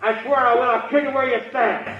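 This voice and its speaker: Frantic cornered gunman, very high strained yell, heard from far off in vintage radio drama. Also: fairly even intonation, fairly quick, very noisy. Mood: frantic, threatening. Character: desperate cornered gunman yelling threats at police. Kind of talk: 1950s radio crime drama acting. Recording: echoey, distant, muffled old radio recording.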